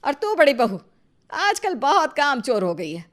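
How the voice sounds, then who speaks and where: A woman voicing a domineering, nagging mother-in-law with a sharp, bossy manner in an animated family story. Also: sits high, measured pace, pitched above the performer's own voice, heavily acted. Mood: angry, scolding. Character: scolding, scheming, domineering mother-in-law of two daughters-in-law.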